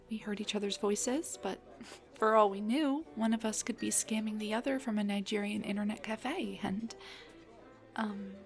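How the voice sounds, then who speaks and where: A woman's voice: mid-range voice, natural voice. A woman playing a shy, nervous dullahan on a blind date in an intimate audio roleplay, speaking in a flustered, hesitant, rambling way. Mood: nervous, awkward. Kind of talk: intimate scripted audio roleplay addressed to the listener.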